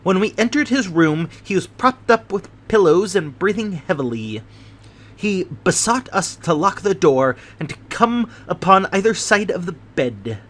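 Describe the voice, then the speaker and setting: A man reading a story aloud in a measured, deliberate way. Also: sits high, very animated. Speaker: audiobook reader. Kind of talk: scripted narration.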